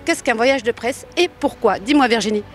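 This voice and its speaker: A woman presenting and interviewing on location for a local TV report, with a high voice. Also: normal speed, soft background music.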